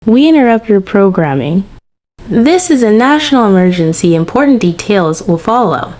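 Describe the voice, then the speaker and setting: A woman's voice: medium pitch, very animated, moderate pace, a little mellow, a little hiss or hum. A woman reading a scripted emergency alert as the announcer.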